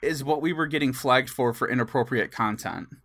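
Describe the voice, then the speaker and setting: A man co-hosts a casual gaming podcast with a mid-range voice. He tells stories in an animated way, chuckling as he goes and acting out bits of dialogue. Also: mid-range voice, brisk, a little hiss or hum. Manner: chuckles through stories, acts out quoted dialogue. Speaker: podcast co-host.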